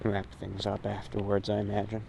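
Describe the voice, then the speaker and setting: A man's voice: fairly low pitch, completely flat delivery, a little fast. A man hosting his own show, talking in a casual, reflective, rambling monologue of short phrases, recorded outdoors on a walk. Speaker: host of a radio and online show. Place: outdoors, recorded while walking. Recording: clear ambient noise behind the voice.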